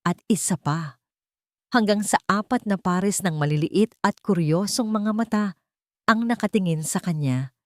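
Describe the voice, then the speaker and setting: A woman with a Filipino accent reading scripted, dramatic love-story narration in a measured storytelling manner. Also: mid-range voice, darkish tone, dry recording, studio quality.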